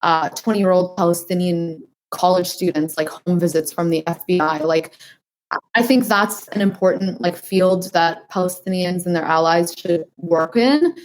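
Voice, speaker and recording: An earnest young woman from New Hampshire answers interview questions, her speech full of "like" and "um". Her voice sits a little low for a woman. She talks with steady conviction. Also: normal speed, low-quality recording. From New Hampshire, United States. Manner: earnest, uses many fillers like "like". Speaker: guest.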